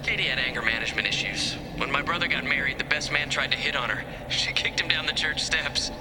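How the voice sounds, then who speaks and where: A man voicing a haunted ship researcher losing his mind in a video game, with a breathy, uneasy, intense delivery. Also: medium pitch, quick pace, heavy background noise, lightly acted. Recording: loud music over the voice.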